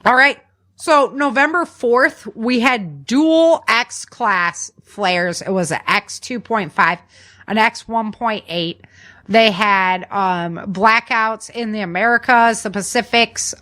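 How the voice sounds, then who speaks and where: A woman hosts a casual podcast at an average pitch. She talks conversationally, as if chatting with listeners, and leans on repeated words for emphasis while she runs through the news. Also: a bit bright. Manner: informal, conversational, emphatic repetition. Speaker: podcast host.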